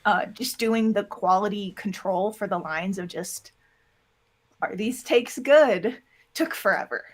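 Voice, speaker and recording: A woman's voice: mid-range voice, a little slow. Casual, candid woman in an interview. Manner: candid, informal, heavy use of "like". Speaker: interview guest, audio drama producer and voice actor.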